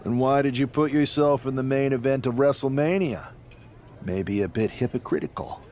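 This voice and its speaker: A man's voice: mid-range voice, normal speed, darkish tone, slight background noise, close to an everyday voice. A man voicing an ambitious, pushy wrestler who challenges his leader in insistent video game cutscene dialogue. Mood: challenging, insistent.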